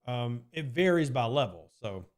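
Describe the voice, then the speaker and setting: A man's voice: mid-range voice, very animated, moderate pace. A man streaming live and answering chat questions in a casual, rambling way, often hedging with "I mean" and repeating his points.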